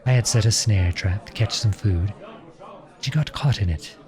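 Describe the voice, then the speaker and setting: A man playing an earnest, lovestruck outlawed prince turned soldier in an audio roleplay drama, speaking softly and with measured pauses. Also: lowish voice, crowd noise, close to an everyday voice. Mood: tender, wistful.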